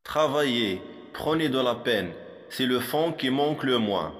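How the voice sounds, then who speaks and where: A man reciting poetry for a primary school lesson in a measured, didactic manner, with a long hall-like reverb on his voice. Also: medium pitch, animated, loud music behind the voice.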